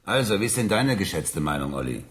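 Sarcastic cop, lively delivery. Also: male voice, sits low, a little fast. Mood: mocking. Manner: teasing, sarcastic. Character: mocking, world-weary male police colleague needling a know-it-all. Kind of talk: radio drama acting.

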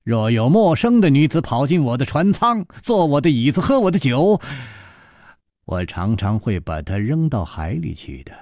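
A man voicing a suave, roguish martial-arts hero and master thief in an audiobook, playful, mocking and unhurried. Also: mid-range voice, big pitch swings, darkish tone, no echo, studio quality, voice pushed up. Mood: teasing.

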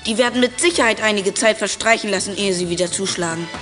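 A woman's voice: mid-range voice, quick pace, over music, close to an everyday voice. A woman playing a clever, determined boy detective in a German children's audio drama, speaking earnestly and decisively. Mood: insistent.

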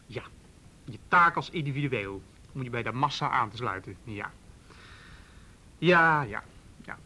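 A man's voice: mid-range voice, expressive, unhurried, pushed and bright, full character voice. A man acting a dry, sardonic, worried policeman in a naturalistic radio drama. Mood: worried, exasperated. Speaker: police officer.

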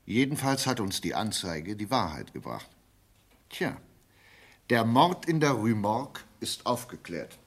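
An actor playing a shrewd, wry, self-assured detective in a German radio play, with a husky voice. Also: male voice, fairly low pitch, very animated, measured pace, full character voice. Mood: wry, satisfied.

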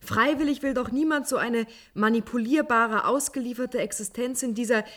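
An actress playing an anxious wife who falters and repeats words, in a German science-fiction radio play. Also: female voice, fairly high pitch, moderate pace, a bit bright, close to an everyday voice. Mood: troubled. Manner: hesitant, breaking off and repeating words. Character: anxious, faltering wife waiting for news of husband.

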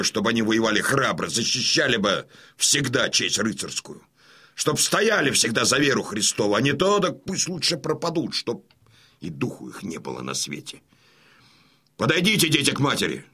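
An actor playing a gruff, imperious old Cossack colonel and father in an audio drama, with a husky voice and a bluff, emphatic delivery. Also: mid-range voice, bright, forceful tone, exaggerated voice. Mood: solemn. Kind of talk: Russian audio drama acting.

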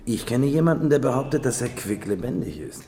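An actor playing a terse private detective asking probing, matter-of-fact questions in a radio drama. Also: male voice, mid-range voice, expressive, a little fast, dark tone, natural voice. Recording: large reverberant hall, faint noise.

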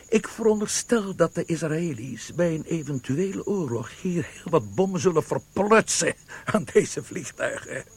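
An actor playing a boastful, scheming military man in a Dutch radio drama, speaking with smug, deliberate emphasis. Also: fairly high pitch, slightly rough, exaggerated voice.

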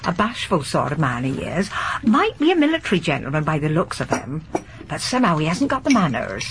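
A chatty, folksy village landlady, gossiping in a radio drama. Her voice sits a little low for a woman and swings freely up and down. There is a faint hiss on the recording. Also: very animated, brisk. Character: gossipy, garrulous older village landlady and charwoman. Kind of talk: broadcast radio drama acting.

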